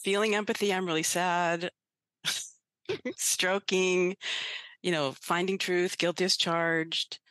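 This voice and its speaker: A woman's voice: on the low side, steady tone, slow pace, long pauses, a bit bright, no echo. Woman co-host, light and laughing. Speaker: podcast co-host and therapist. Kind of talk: giving feedback on role-play.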